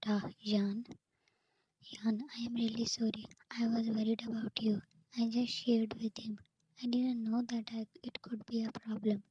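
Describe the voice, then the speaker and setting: A woman's voice: fairly high pitch, moderate pace, slightly rough, rough recording. A woman whispering her way through a second-person fan fiction story, reading the dialogue along with who speaks. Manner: soft steady whisper, reads dialogue with speaker tags. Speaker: narrator.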